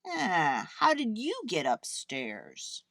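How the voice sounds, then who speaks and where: A woman voicing a brisk, puzzled male night watchman in a children's storybook, exclaiming as he talks. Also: lowish voice, huge pitch swings, pushed and bright, dry recording, clean studio sound, exaggerated voice. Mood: startled suspicion. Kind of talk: children's storybook read-aloud.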